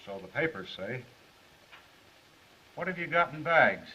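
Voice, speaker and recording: An actor playing a cool, threatening gangster in a 1930s crime film, on a muffled old soundtrack. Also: male voice, medium pitch, sing-song, a little slow, sharp tone. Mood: cool, insinuating. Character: menacing male gangster with a gun, cool and threatening.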